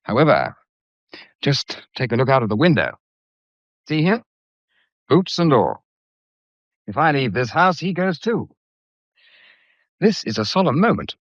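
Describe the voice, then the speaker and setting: An actor playing a flippant, charming British gentleman in a period mystery drama, breezy, teasing and sardonic. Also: mid-range voice, sing-song, measured pace, pauses often, no room sound, dull audio. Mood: wry, tender. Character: wry, flippant gentleman, charming self-styled old cad.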